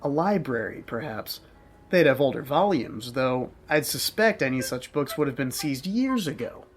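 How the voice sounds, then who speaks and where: An actor playing a prim, law-abiding, wary bookstore clerk in an audio drama, formal and officious. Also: male voice, mid-range voice, animated, moderate pace, slight background noise, close to an everyday voice. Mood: dismissive.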